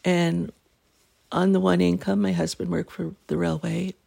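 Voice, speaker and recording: A middle-aged woman from Canada, hosting a podcast and telling a family story in casual chat, clearing her throat as she loses her voice. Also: lowish voice, little pitch movement, measured pace, warm tone. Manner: laughing, self-deprecating jokes. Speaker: podcast host.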